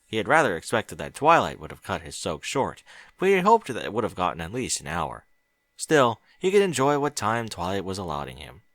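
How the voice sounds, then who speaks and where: A man's voice: fairly low pitch, huge pitch swings, a little fast, fairly bright tone, no room sound. A man reading fiction aloud as an audiobook, narrating expressively. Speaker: audiobook reader.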